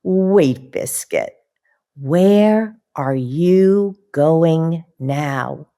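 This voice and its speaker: A woman voicing a cheerful little girl who cares for her puppy, in a gentle, sing-song read-aloud manner. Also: sits low, extremely slow, full character voice.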